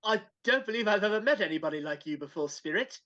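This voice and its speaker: A woman playing a grumpy but softening old male miser in a live dramatic reading over a video call. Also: mid-range voice, animated, fast talker, natural voice. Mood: sceptical. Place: remote video call at home. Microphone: video call microphone. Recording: slightly dull video-call audio.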